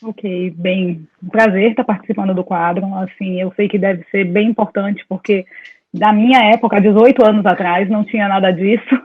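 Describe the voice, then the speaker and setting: A woman in her forties from Salvador, Brazil, talks over a video call that sounds tinny and phone-like. The audio is dry and her voice comes through a little husky. She tells her story at length and wanders off on side points. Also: mid-range voice, steady tone, moderate pace, no room sound. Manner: talkative, meandering storytelling with laughs. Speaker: dentist, interview guest. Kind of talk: casual conversation over a call.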